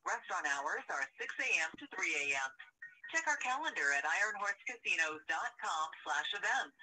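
A woman's voice: fairly low pitch, very animated, normal speed, bright, forceful tone, slight background noise. A woman reading a scripted promotional message, played over a phone line. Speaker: recorded announcer.

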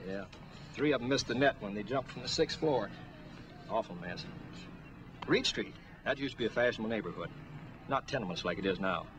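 A man's voice: on the low side, lively intonation, a little fast, pauses often, lightly acted. An actor playing a chatty old fireman, telling stories in a folksy, colloquial way in a 1940s film. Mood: reminiscing. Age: older. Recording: faintly noisy 1940s film soundtrack.

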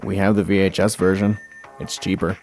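A man's voice: low-pitched, flat delivery, brisk, darkish tone, soft background music. A man voicing a bored young video store clerk, an aloof loner with a casual manner, in a visual novel game. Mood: bored, curt.

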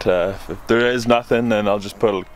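A man's voice: on the low side, fairly quick. Young man vlogging from his car. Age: young adult. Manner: relaxed, rambling, with fillers. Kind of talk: casual vlog chat to camera. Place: inside a car and outdoors in a parking lot. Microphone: handheld camera at arm's length. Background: pop music.

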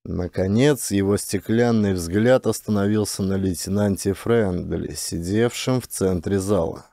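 A man's voice: sits low, unhurried, vocal fry, mellow, dry recording, studio quality. A man reading an audiobook as scripted dramatic narration.

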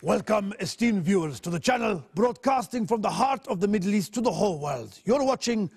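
A man's voice: high voice, animated, brisk, a little mellow, faint music behind. A comedian playing a smarmy male TV channel presenter with a slick announcer patter.

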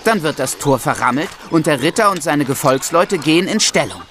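A man's voice: a little high, animated, a little fast, a bit bright, a little hiss or hum. A young man playing an eager, proud medieval squire who explains things to visiting children in an audio drama. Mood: amused, explaining. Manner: explanatory, enumerating.

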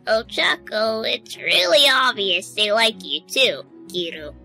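A woman's voice: a little high, big pitch swings, slow, vocal fry, sharp tone, soft background music, full character voice. A woman voicing a frank, supportive teenage girl in an anime roleplay audio drama, matter-of-fact and blunt.

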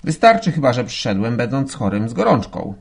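A man's voice: mid-range voice, very animated, a little fast, vocal fry, breathy edge, full character voice. A man voicing an irritated, defiant young student protesting indignantly in an audiobook. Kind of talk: audiobook character acting.

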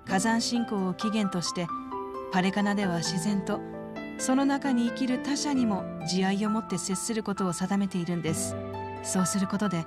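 Woman acting a gentle polite religious home lady in a video game, measured talk, music clearly behind. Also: medium pitch, natural voice. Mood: earnest, composed. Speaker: staff member of a religious children's home. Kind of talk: scripted Japanese video game dub.

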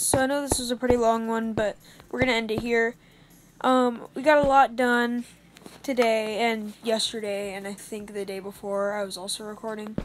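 A woman's voice: a little high, slight background noise. A young woman hosting a casual game commentary, chatty and upbeat as she recaps the session. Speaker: gaming channel host.

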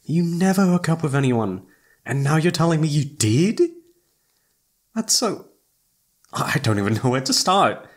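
Man acting as young male best friend, secretly smitten, informal, hesitant, emotive, very lively intonation, roleplay audio. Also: on the high side, unhurried, long pauses. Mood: astonished. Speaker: voice actor. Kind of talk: roleplay audio drama, one side of a casual conversation.

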